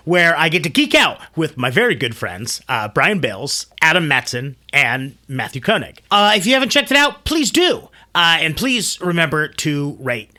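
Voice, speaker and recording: Bright-voiced, animated man telling stories. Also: on the high side. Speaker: host of a storytelling show. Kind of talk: casual storytelling and commentary.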